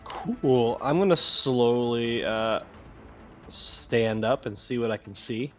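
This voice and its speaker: A man's voice: mid-range voice, slow, faint music behind. A man playing a tabletop roleplaying game and chatting casually.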